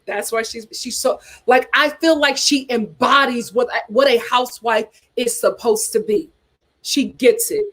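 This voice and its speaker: A woman's voice: fairly high pitch, a little slow. A woman hosting a casual reality TV chat, reading viewer comments aloud with dramatic exclamations.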